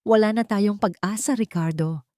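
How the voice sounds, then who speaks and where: A woman's voice: mid-range voice, moderate pace, warm tone, no room sound, clean studio sound, voice pushed up, natural voice. A woman with a Filipino accent voicing a despairing, newly penniless female villain in a dramatic story, anguished and complaining.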